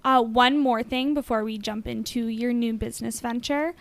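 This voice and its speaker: A woman's voice: a little high, fairly even intonation, moderate pace, gravelly. A woman co-hosting a podcast, enthusiastic and encouraging toward her guest. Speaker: podcast co-host. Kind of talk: casual interview chat.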